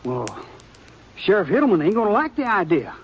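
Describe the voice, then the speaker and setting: A man's voice: high-pitched, big pitch swings, fairly quick, dull audio, a little hiss or hum. An actor in a 1950s radio western playing a nervous, stammering young townsman, heard on an old broadcast recording. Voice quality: stammering, hesitant. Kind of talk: 1950s radio drama acting.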